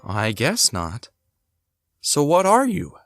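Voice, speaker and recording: Actor doing curious house-exploring sleuth, audio drama, expressive, voice goes up and down a lot, bit of music behind. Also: mid-range voice, takes it slowly, creaky, no room sound, studio quality, heavily acted. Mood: skeptical. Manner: expressive, swinging between musing and exclaiming. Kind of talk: hobby audio drama acting, thinking aloud.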